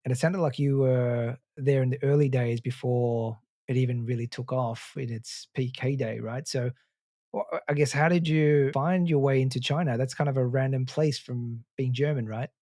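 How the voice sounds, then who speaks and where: Guy hosting a podcast, chatty and matey, normal pitch, dry sound. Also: fairly even intonation, moderate pace, mellow, dry recording. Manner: informal, matey, conversational. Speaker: podcast host. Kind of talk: upbeat intro and casual interview.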